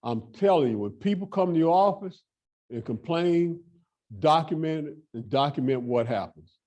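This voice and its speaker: Male workshop presenter, emphatic and direct, warm low tone. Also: animated, moderate pace. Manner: emphatic, repeats points, direct advice. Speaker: fair housing workshop presenter. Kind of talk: training talk to housing staff.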